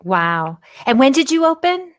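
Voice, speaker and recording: A woman's voice: high voice, very animated, measured pace, no room sound, studio quality. A woman in her fifties hosting a podcast interview, encouraging and chatty, asking long rambling questions and laughing often. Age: 50s. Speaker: podcast host. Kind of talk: casual interview conversation.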